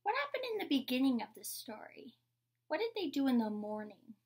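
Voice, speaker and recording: Young lady doing a storybook read-aloud, kinda high voice w lots of vocal fry. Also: sing-song, a little fast, a little mellow. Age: young adult. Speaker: teacher reading aloud. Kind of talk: picture-book read-aloud with short explanations. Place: indoor room with painted mural wall.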